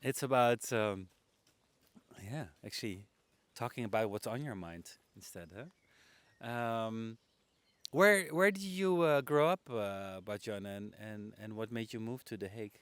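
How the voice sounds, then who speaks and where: A man's voice: on the low side, unhurried, a bit bright, smooth, no echo. A man hosting a conversational podcast interview outdoors on a public bench, talking casually.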